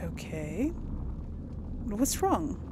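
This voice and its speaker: A woman's voice: medium pitch, big pitch swings, background noise. A woman casually commenting on her gameplay, musing aloud and talking to herself. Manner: slow, musing, talks to herself. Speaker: gameplay host. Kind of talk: casual let's play commentary.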